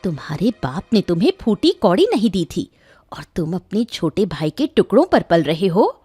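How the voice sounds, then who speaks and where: A woman's voice: on the low side, very animated, moderate pace, dark tone, husky, dry recording, clean studio sound, soft background music. A woman voicing a resentful, scheming wife who nags her husband in a cartoon story. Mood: bitter nagging. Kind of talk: Hindi cartoon moral story acting.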